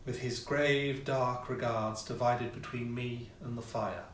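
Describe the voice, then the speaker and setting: A man reading a classic ghost story as scripted narration, with measured, theatrical phrasing and pauses. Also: medium pitch, fairly even intonation. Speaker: audiobook reader, amateur player. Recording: roomy echo, distant mic, faint noise.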